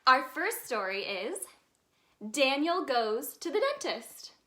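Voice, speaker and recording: High, bright-voiced woman reading to kids. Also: slow. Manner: expressive, sing-song, addressing young children. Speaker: storyteller in character as the Tooth Fairy. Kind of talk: animated children's picture-book read-aloud.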